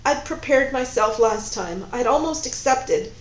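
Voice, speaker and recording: A woman voicing a distraught, betrayed woman who shouts accusations through tears. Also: a little high, lively intonation, unhurried, pitched above the performer's own voice. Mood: anguished. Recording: dull, roomy recording with faint hum.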